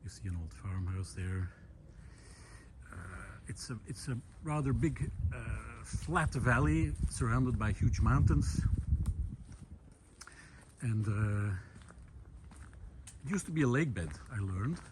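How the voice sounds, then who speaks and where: Guy thinking out loud while walking a mountain trail, lots of uhs and restarts, middling voice, bit of faint outdoor sound. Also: medium pitch, animated, slow pace, long pauses, background noise. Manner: thoughtful, hesitant, many fillers and restarts. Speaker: vlog host. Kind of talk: reflective walking monologue. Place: outdoors on a forest path in the mountains.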